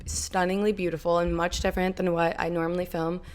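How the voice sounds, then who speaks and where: A woman's voice: on the low side, level tone, a little fast. A young woman hosting a travel podcast, casual and upbeat, talking straight to her viewers. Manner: upbeat, addresses viewers directly. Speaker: podcast host. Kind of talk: casual chat.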